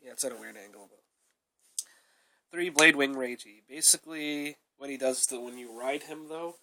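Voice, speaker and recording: A young man talks through his card deck in a loose, rambling way, tacking "though" and "uh" onto most sentences. He has a cold, which makes his voice sound a bit off. His pitch sits in the middle for a man. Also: moderate pace, pushed and bright, slight background noise, rough recording. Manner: rambling, repeated fillers like "though" and "uh". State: sick with a cold, coughing. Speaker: card game reviewer. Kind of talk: casual deck review.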